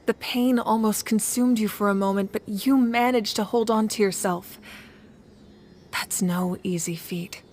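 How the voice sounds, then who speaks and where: An actress playing a calm, compassionate leader in a video game, measured and authoritative. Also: female voice, medium pitch, slight background noise, close to an everyday voice. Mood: calm, reassuring. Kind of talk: dramatic video game voice acting.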